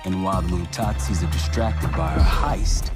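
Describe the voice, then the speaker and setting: An actor playing a terse, confident leader of a covert crew in a video game cutscene. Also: male voice, on the low side, lively intonation, normal speed, over loud music. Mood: confident, resolute.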